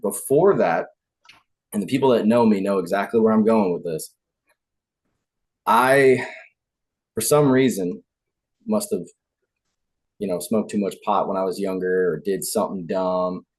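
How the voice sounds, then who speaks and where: A man's voice: fairly low pitch, unhurried, long pauses, darkish tone. A man who is a podcast guest, chatting casually and poking fun at himself. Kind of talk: casual chat.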